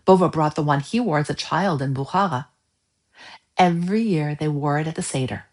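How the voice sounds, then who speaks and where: A woman's voice: low voice, brisk, a little mellow, lightly acted. A woman voicing a proud, affectionate old grandmother in a children's storybook, explaining fondly. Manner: fond, explaining.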